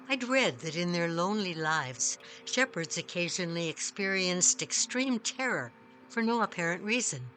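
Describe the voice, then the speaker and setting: A woman reading a first-person story as scripted narration, measured, with dramatic pauses. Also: deep voice, sharp tone. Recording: music behind the voice. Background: music.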